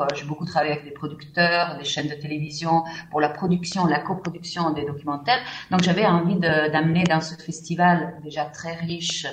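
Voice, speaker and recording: A woman's voice: sits low, roomy sound, slight background noise, low-quality recording. A middle-aged woman from Italy, whose first language is Italian, answering questions in a radio interview, hesitating often with fillers and restarts. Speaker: festival partnerships manager, guest.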